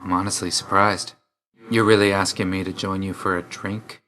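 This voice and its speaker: An actor playing an aloof, weary young god of death in a video game, speaking in a restrained, clipped and solemn way. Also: male voice, sits low, level tone, moderate pace, pushed and bright. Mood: reserved, grudging.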